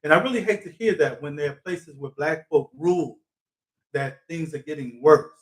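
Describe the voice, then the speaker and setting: A man's voice: a little high, moderate pace, poor audio. A man hosting a live talk show, interviewing a guest in a thoughtful way with frequent fillers. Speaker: host.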